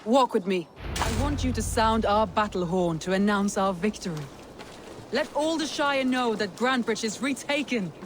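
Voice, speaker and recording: A woman voicing a proud, wary Viking clan leader in a video game, with deliberate, solemn delivery. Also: medium pitch, lively intonation, background noise, natural voice. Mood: triumphant, commanding. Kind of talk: cinematic video game acting.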